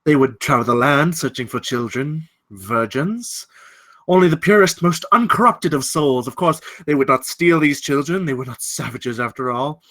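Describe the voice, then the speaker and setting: An actor playing a menacing, courteous gentleman villain in a script table read, telling his tale in deliberate, ornate, theatrical phrasing. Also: medium pitch, close to an everyday voice. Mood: sly storytelling.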